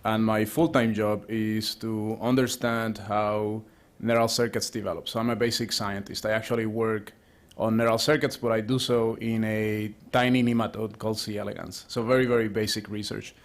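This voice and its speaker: A man's voice: on the low side, little pitch movement, moderate pace. Man speaking on a panel. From Puerto Rico. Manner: conversational, many restarts and fillers. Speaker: associate professor, speaker at a round table. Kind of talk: panel talk.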